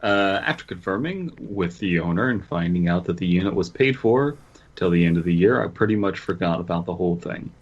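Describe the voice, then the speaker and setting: A man's voice: low voice, expressive, a little fast, lo-fi sound. A man co-hosting a podcast, bantering casually and stumbling as he reads a story aloud. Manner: stumbles while reading aloud. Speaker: podcast co-host.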